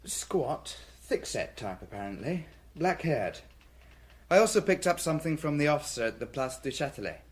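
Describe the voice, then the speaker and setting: A British actor playing a diligent police detective reporting briskly to his chief in a radio drama. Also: on the high side, very animated. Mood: matter-of-fact.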